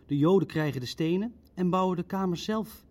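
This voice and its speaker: Male actor as orderly Nazi bureaucrat, sceptical, measured, fairly high voice, radio play. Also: a little mellow, natural voice. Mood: sceptical, clinical. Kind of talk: Dutch radio play dialogue.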